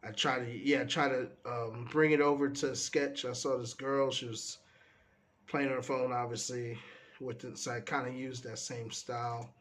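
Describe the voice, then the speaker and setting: Stuffy-nosed male artist, mid-pitched, loose rambling sketchbook walkthrough with a faint hum behind. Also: moderate pace. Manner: relaxed, meandering, trails off often. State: stuffy from a cold. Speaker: artist flipping through his sketchbook. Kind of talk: casual rambling commentary.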